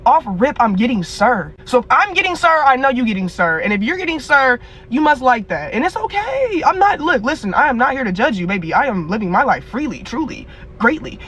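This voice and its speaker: A woman with a deeper voice than most women, ranting bluntly and casually with a lot of profanity. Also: a little fast, slightly rough. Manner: blunt, animated rant with profanity. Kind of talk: casual rant.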